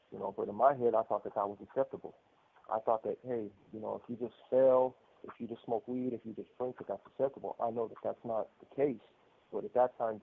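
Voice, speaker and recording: A man's voice: fairly low pitch, gravelly, breathy edge. American male inmate caller, candid personal storyteller, heard through a thin, muffled telephone line. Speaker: prison inmate caller telling his story. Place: prison phone call. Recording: muffled narrow-band prison phone line.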